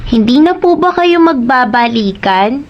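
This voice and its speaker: A woman's voice: high-pitched, animated, measured pace, highs cut off. A woman playing an innocent, polite young boy in a radio drama, with a childlike, pleading way of talking. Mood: pleading, wistful. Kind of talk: Tagalog radio drama acting.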